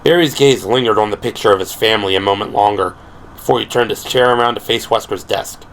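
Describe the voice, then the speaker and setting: A man's voice: lowish voice, quick pace, fairly bright tone, a little hiss or hum, low-quality recording. A man reading scripted, dramatic prose as the narrator of an audio drama. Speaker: narrator and performer.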